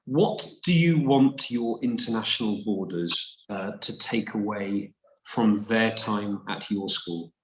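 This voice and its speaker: A man's voice: lowish voice, takes it slowly. A man co-hosting a webinar, moderating the panel and putting questions to guests, over echoey and slightly noisy audio. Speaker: webinar co-host.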